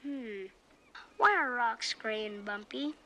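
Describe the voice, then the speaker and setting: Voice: mid-range voice, expressive, takes it slowly, sharp tone, slight background noise, exaggerated voice. A child actor playing a curious, earnest young boy in scripted television family dialogue, plainspoken and inquisitive. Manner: inquisitive, plainspoken, matter-of-fact.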